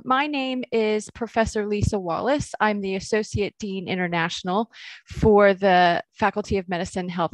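A woman's voice: medium pitch, no echo, poor audio. A middle-aged American woman with an upbeat, friendly manner, presenting a university webinar on a video call. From United States. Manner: friendly, upbeat, with frequent fillers and restarts. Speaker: associate dean presenting a webinar. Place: indoors, home or office, on a video call.

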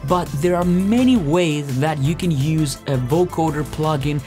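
A man in his thirties presenting an instructional tutorial step by step, with deliberate, emphatic explanations. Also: fairly high pitch, mellow, faint music behind. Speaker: music production tutorial presenter. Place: home music studio, indoors.

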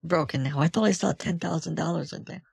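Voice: medium pitch, fast talker, creaky, darkish tone, breathy edge, dry recording, studio quality, full character voice. A performer voicing a flustered retired older woman on a scam call, panicky and repeating herself. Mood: exasperated. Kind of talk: prank call with a phone scammer.

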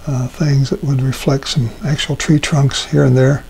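An older man demonstrating a watercolor painting as an instructor, talking himself through each step with frequent ums and pauses. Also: medium pitch, creaky, a little hiss or hum, rough recording. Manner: relaxed, thinking aloud with many ums. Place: art studio at a painting table.

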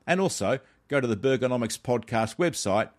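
A middle-aged Australian man reading a scripted explainer as a podcast host, with measured, emphatic phrasing. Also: medium pitch, animated, noisy background.

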